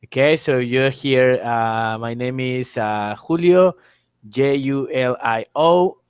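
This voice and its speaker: Male online tutor, mid-pitched voice, informal and explanatory, dry recording. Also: takes it slowly, clear, resonant tone, no echo. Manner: explanatory, repeats phrases and spells letters aloud. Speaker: English teacher, exam tutor. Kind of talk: informal online exam lesson. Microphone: online call microphone.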